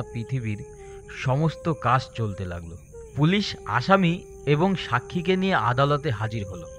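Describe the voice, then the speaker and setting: A man narrating a scripted dramatic story as a storyteller. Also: mid-range voice. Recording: faint music behind.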